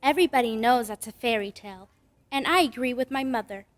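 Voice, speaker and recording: A woman's voice: a little high, fast, lightly acted. A woman playing a precocious, skeptical little girl in an old-time radio drama, prim and matter-of-fact. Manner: prim, know-it-all.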